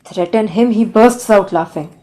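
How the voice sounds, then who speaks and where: An exasperated overseer played by a woman in an audio drama, with a warm, dark voice at an average pitch. The manner is deferential, like an underling telling a long tale to the boss. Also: moderate pace, lightly acted. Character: exasperated male overseer reporting to the governor. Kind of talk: audio play acting.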